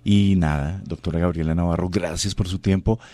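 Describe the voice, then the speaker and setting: Low, mellow male radio host. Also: fairly even intonation, moderate pace, no room sound. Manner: courteous, affirming, formal address. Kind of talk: conversational radio interview.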